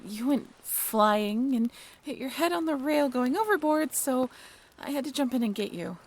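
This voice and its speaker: A woman playing a caring, bashfully candid half-mermaid ship crew member in an audio roleplay, speaking straight to the listener in a halting, natural, gently bossy way. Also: high-pitched, lively intonation. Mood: concerned, relieved. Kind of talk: audio roleplay drama spoken directly to the listener.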